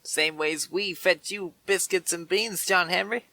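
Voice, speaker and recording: Man as a bright, high-pitched frontier yokel. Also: measured pace, vocal fry, dry recording, exaggerated voice. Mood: cheeky. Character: excitable, folksy Old West working fellow.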